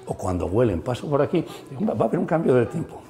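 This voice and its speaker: A man's voice: medium pitch, big pitch swings, quick pace, creaky, dark tone, big-room sound. A middle-aged man guiding an interview through a museum, enthusiastic and full of fillers and tag questions. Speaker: musician and museum guide. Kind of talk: explanatory interview chat. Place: museum room.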